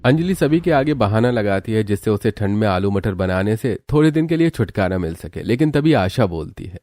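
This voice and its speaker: A man's voice: lowish voice, lively intonation, fairly quick, a little mellow. A man narrating a scripted family cartoon story. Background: background music.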